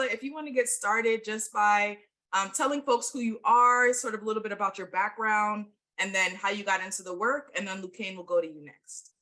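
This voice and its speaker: Bright, upbeat woman hosting a panel. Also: medium pitch. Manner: warm, enthusiastic, casual, frequent fillers. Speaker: host, moderator. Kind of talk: panel discussion.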